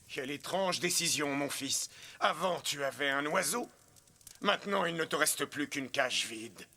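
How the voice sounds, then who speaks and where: A man voicing a regal, measured pharaoh and father in a French cartoon dub. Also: on the high side, expressive, bright, forceful tone, exaggerated voice. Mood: reproachful.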